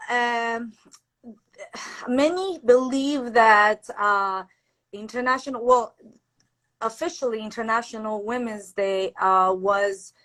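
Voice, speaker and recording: Woman guest, slow n hesitant, lots of ums, bright higher voice. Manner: slow, hesitant, many fillers. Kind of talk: casual history talk.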